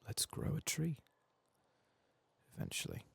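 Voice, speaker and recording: A man softly narrating a video game playthrough in ASMR style, with dry, sweary asides. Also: a little slow, no room sound. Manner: dry, sweary asides, reads quest text aloud. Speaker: ASMR gaming streamer.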